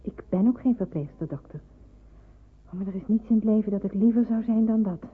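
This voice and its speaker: A woman's voice: medium pitch, brisk, slightly rough, lightly acted. A woman acting an eager, deferential teenage girl who wants to be a nurse, in an old radio play, sounding meek and hesitant. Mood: earnest. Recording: muffled narrow-band old radio recording.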